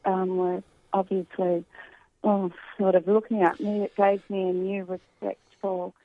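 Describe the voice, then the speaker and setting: A woman with an Australian accent and a husky voice, calling into a radio show and speaking haltingly. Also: mid-range voice, little pitch movement, no room sound. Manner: hesitant, halting. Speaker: caller. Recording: phone line.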